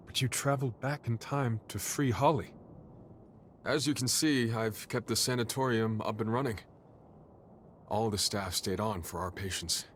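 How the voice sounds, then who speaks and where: A courteous, careworn father played by a male actor speaks in a fairly low voice. His manner is earnest and slightly formal, as in a dramatic game cutscene, with faint ambient noise behind him. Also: close to an everyday voice. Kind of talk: dramatic video game voice acting.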